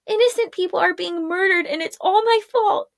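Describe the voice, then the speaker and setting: Anxious young witch girl, read by a woman, high and pleading, close dry read. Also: moderate pace, vocal fry, no echo. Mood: distressed.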